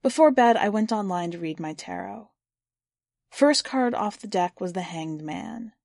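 A woman hosting a fiction podcast, introducing and then reading a short story in a measured, clear storytelling delivery. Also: medium pitch, lively intonation, a little mellow, dry recording, studio quality. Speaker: podcast host and story reader.

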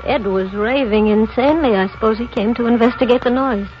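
An actress playing a strained, frightened young wife in a 1940s radio drama. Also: female voice, on the high side, fairly quick, soft background music, lightly acted. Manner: quiet, short, strained answers. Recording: lo-fi old radio recording.

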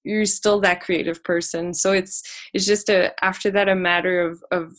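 A woman's voice: on the low side, flat delivery, moderate pace. Casual female podcast guest; hesitant, restarting often. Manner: hesitant, many restarts and laughs. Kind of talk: casual conversation.

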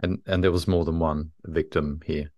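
A man's voice: rumbling low, fairly even intonation, darkish tone, dry recording, lo-fi sound. A man hosting an interview, asking curious questions that often start over. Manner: curious questions with halting restarts. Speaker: podcast host. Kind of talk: interview conversation.

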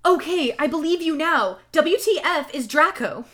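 An angsty goth teenage girl, acted out by a woman, hurling insults in mock-dramatic fan fiction style. The voice is high and swings widely, overwrought and full of exaggerated outrage. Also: animated, moderate pace, a bit bright. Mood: exasperated.

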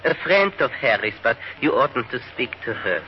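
A suave, slippery Viennese baron, played by an actor, speaks in courtly, formal phrases at a middle pitch. The recording is a dull old radio drama with plainly audible hiss. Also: male voice, very animated, normal speed, lightly acted. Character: smooth, evasive middle-aged male Viennese baron. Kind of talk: 1940s radio acting.

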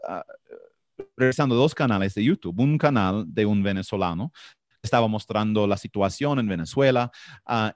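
A man from Florida with an American accent and English as his first language, answering interview questions haltingly as he searches for words in a second language. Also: medium pitch, no echo. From Florida, United States. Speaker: podcast guest.

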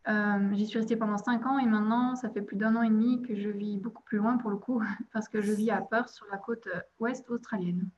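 Guest, woman from Belgium in her twenties, hesitant and chatty, flat delivery, dull lo-fi sound. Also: mid-range voice, fairly quick, few pauses. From Belgium, province of Liège. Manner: hesitant, many "euh" fillers, laughs lightly. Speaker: podcast guest. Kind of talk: casual interview chat.

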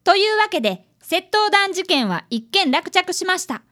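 Woman acting as young boy detective, very high voice, lively intonation, polite, earnest, radio drama. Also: moderate pace, exaggerated voice. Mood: earnest narrating. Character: earnest primary-school boy apprentice detective, polite and worried. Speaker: actor and narrator in radio drama.

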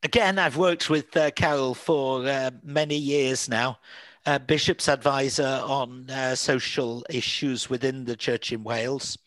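A man's voice: mid-range voice, steady tone, a little slow, fairly bright tone, no echo. A man with a Manchester accent, a priest hosting a podcast, talking through past episodes in a thoughtful, rambling way with frequent fillers and restarts. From England. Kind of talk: casual conversational podcast chat.

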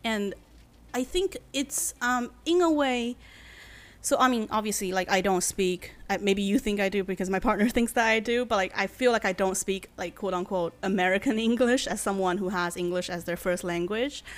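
A woman in her late twenties from China, a native Chinese speaker, answering interview questions thoughtfully with many pauses and fillers. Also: mid-range voice. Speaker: guest. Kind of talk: casual interview.

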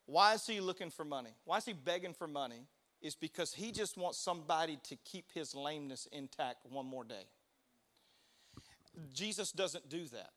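A man's voice: on the high side, animated, normal speed, bright, forceful tone. A man preaching a sermon, in a conversational way, asking the crowd to respond. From United States. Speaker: pastor.

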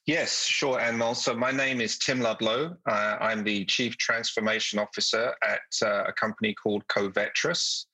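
British man, husky and bright. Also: on the low side, fairly even intonation, no room sound, low-quality recording. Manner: measured, with frequent ums and uhs. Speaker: guest, company executive. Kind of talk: podcast interview.

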